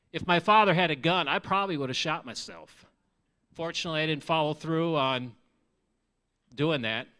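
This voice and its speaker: A man's voice: on the high side, bright, forceful tone, smooth. A man in his forties with a Minnesota accent, giving his testimony from a church stage on a handheld mic in an earnest, conversational way. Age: 40s. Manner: earnest and conversational, asks the audience questions. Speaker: missionary. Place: large church sanctuary with audience. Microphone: handheld microphone.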